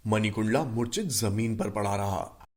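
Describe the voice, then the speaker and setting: A man narrating a scripted animated short story as its storyteller. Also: fairly low pitch, moderate pace.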